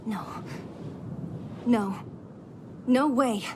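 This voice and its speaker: A woman's voice: on the high side, extremely slow, noisy background. A woman playing a blunt, protective young woman in a video game cutscene, plain-spoken and full of feeling. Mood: troubled, protesting. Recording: loud music behind the voice. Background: music.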